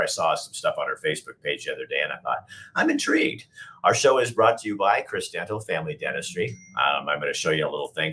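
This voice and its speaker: Hoarse guy with a deep voice hosting his show, chatty and rambly. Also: sharp tone. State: hoarse from a cold. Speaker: talk show host. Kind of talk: casual show intro.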